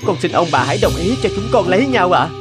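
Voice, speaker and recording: Humble, earnest young woodcutter. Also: male voice, high voice, very animated, fast, over loud music. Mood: nervous, pleading. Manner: deferential, hesitant, pleading. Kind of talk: Vietnamese fairy-tale cartoon dubbing.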